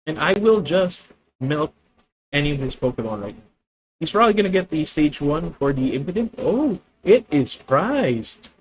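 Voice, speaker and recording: Filipino-accented streamer thinking aloud. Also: male voice, fairly high pitch, sing-song, moderate pace, rough recording. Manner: thinking aloud, self-correcting, addresses viewers. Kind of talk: live gameplay commentary.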